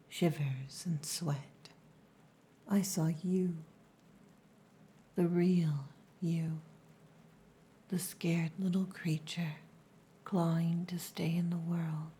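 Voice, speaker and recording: A low, hushed orc woman, performed by an actress for an ASMR roleplay. She is dominant and possessive, gruff but tender underneath. Her words come extremely slowly and deliberately, in a dark, breathy near-whisper. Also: steady tone. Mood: soft, reassuring. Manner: slow, deliberate, dominant, teasing.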